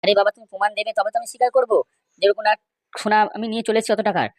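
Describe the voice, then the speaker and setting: A man's voice: high voice, fairly even intonation, racing, no echo, close to an everyday voice. A man with a West Bengal accent voicing argumentative, indignant men in an amateur comedy cartoon, scolding and insistent. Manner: scolding, insistent, rhetorical questions.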